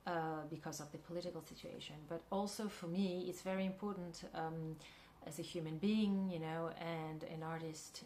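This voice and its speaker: A woman with a Russian accent, a filmmaker and actress, answering an interview question thoughtfully and pausing often to find her words. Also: low-pitched, slight background noise. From Kyrgyzstan. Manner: thoughtful, searching for words with many pauses.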